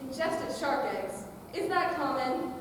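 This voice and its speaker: A very high-pitched, eager and apologetic surgical assistant, acted by a young woman in a high school stage comedy. The voice comes from far off in a reverberant hall, with clear background noise. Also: lively intonation, a little slow. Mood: puzzled, polite. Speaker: student actor. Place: large hall, stage performance. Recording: echoey hall, distant mic, noisy.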